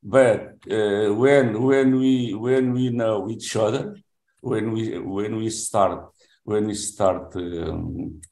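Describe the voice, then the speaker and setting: A deep-voiced man in his seventies speaks English with a Portuguese accent, slowly and haltingly, restarting his sentences again and again. His voice is gravelly, and the room lends it a noticeable echo. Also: steady tone, darkish tone. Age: 70s. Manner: halting, with many restarts and fillers. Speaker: language student. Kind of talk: classroom self-introduction. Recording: roomy echo.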